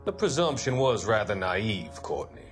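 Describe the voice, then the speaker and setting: An actor playing a composed, probing doctor in a game cutscene, speaking in a formal, solicitous manner. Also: male voice, fairly low pitch, big pitch swings, normal speed, soft background music. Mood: knowing, detached. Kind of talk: video game cutscene acting.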